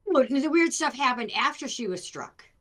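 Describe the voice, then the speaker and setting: A woman's voice: high-pitched, expressive, normal speed. A woman from Wisconsin with a Wisconsin accent, co-hosting a podcast in a casual chat and making blunt, wisecracking comments. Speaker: podcast co-host.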